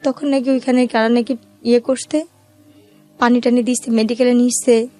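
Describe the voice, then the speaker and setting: A Bangladeshi woman with a fairly high voice recounts her personal story as an interview guest. She speaks in a colloquial, conversational way, with faint music underneath. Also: flat delivery, normal speed, dark tone.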